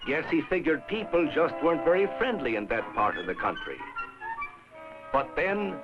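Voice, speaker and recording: Animated actor as a riverboat storyteller, old film. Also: male voice, on the high side, moderate pace. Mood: dramatic storytelling. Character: towboat man narrating a river voyage to a boy. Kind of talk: scripted 1960s educational film narration. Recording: dull old film soundtrack. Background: music.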